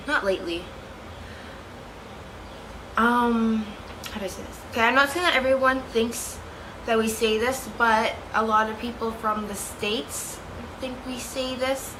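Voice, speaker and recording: A young woman with a Canadian accent chatting casually to her camera at home, rambling, correcting herself and giggling. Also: a little high. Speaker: vlogger. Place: room at home with a fan running. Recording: steady fan noise behind.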